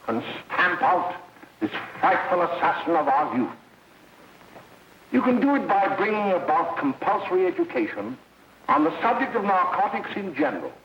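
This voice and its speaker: High-pitched, alarmist 1930s lecturer, American man. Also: heavily acted. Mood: urgent, alarmed. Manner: grave, emphatic, declamatory. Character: stern, alarmist school official lecturing parents against drugs. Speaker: speaker addressing a parents' meeting. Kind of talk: 1930s film acting, formal public oratory. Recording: dull, noisy 1930s film soundtrack, roomy echo.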